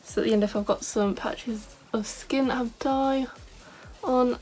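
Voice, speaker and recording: A woman's voice: on the high side, fairly even intonation, a little slow, a little mellow, soft background music. A young woman vlogging in a casual, rambling way, full of "like" fillers, with a scratchy voice today. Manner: chatty, rambling, many "like" fillers. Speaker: beauty vlogger. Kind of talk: casual chat to camera.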